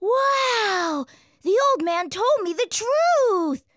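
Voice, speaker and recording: A performer playing a curious, naive young farm boy in an animated children's story, wide-eyed, hesitant and excitable. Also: very high-pitched, drawn-out words, dry recording, clean studio sound, heavily acted. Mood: amazed.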